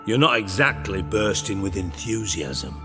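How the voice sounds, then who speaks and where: An actor playing a menacing, blunt underworld boss with dry sarcasm in a video game. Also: male voice, on the low side, very animated, measured pace, long reverb tail, music in the background. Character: menacing, sardonic, foul-mouthed male underworld crime boss.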